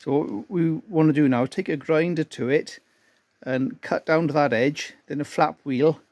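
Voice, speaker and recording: A man doing an unhurried, self-deprecating welding demonstration as an amateur car restorer in a cluttered garage workshop, explaining each step. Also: a little high, darkish tone.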